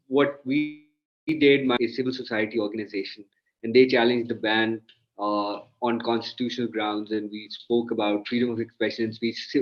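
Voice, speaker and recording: A man's voice: medium pitch, muffled recording. A man from Pakistan, a lawyer and author, answering interview questions at length in an articulate, explaining way.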